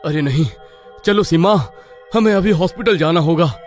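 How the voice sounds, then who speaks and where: A man playing a steady young husband in a spooky cartoon who takes charge and barks out plans. His voice sits a little high for a man. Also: faint music behind, close to an everyday voice. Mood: urgent. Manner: takes charge, gives quick orders. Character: resolute young husband Rajesh.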